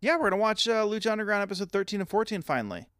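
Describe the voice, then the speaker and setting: Young adult man, podcast co-host, joking banter, fairly high lively voice, dry sound. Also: no echo. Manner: joking, animated, quick comebacks. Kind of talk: casual chat.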